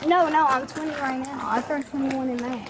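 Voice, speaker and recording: A woman's voice: high voice, steady tone, fairly quick, noisy background. A young woman, flustered and rambling as police question her, recorded on a bodycam outdoors. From Georgia, USA. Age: 20s. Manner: flustered, rambling, repeats herself. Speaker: college student being questioned. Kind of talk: nervous exchange with police. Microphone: officer's bodycam. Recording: bodycam audio with loud music behind.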